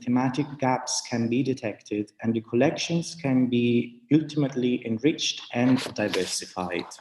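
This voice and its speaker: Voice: mid-range voice, darkish tone, roomy sound, rough recording. A man reading a scripted academic conference presentation in a formal, carefully worded manner.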